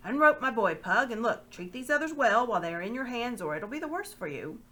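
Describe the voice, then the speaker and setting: A woman's voice: on the high side, sing-song, a little fast, pushed and bright, voice pushed up. A woman voicing a stern, grim bearded lord who speaks curtly and commandingly.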